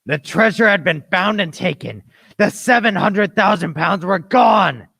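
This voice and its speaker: Man as an earnest adventurer narrator, crisp bright tone, gravelly edge, dramatic radio play storytelling. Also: high-pitched, lively intonation, measured pace, no room sound, studio quality, full character voice. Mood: dramatic, tense. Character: earnest grown Jim Hawkins recalling his boyhood adventure.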